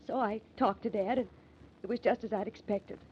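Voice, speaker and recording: A woman's voice: mid-range voice, a little hiss or hum, natural voice. Actress as earnest young American woman, muffled sound. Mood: earnest, confessing. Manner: earnest, dramatic, halting. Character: distraught young daughter of an entertainer, earnest, determined. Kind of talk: old-time radio drama acting. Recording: muffled old radio recording.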